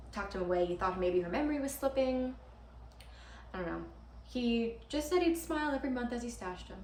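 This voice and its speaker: A woman's voice: medium pitch, close to an everyday voice. A chatty, earnest young girlfriend acted by a woman rambles nervously and keeps correcting herself, as in a small stage monologue. The sound is roomy and set back from the microphone, with some faint noise around it. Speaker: actor performing a monologue. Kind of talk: theatrical comic-dramatic monologue. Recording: roomy, distant mic with background hiss.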